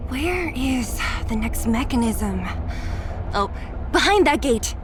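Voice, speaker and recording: A woman voicing a gentle, pensive young woman in a fantasy video game, soft-spoken and wistful. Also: high voice, animated, takes it slowly. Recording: game music playing behind.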